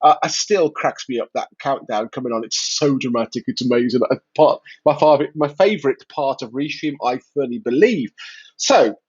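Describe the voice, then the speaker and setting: Man, upbeat stream host, slightly husky, dry dull web-call sound. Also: mid-range voice, moderate pace, a little mellow, dry recording. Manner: upbeat, chatty, encouraging. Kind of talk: casual live-stream interview. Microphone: video call.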